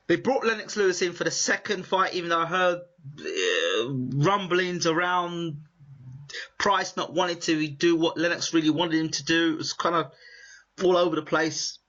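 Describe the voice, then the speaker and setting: A man with a strong British accent interviewing a guest, building his questions in long excited runs. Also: a little high. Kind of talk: animated interview chat. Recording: muffled lo-fi audio.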